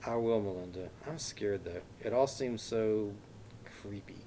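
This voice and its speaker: A man's voice: fairly low pitch, a little slow, dark tone, a little hiss or hum. A man voicing a worried young woman who confides warnings to her friends. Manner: earnest, anxious, confiding.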